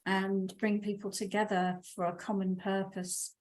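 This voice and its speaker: A woman guest speaks calmly and steadily, building her points in flowing lists. Her pitch sits in the middle of the usual range for a woman. She is joining the show over a video call. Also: a little slow, rough recording. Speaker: guest, meditation teacher. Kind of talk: casual interview talk.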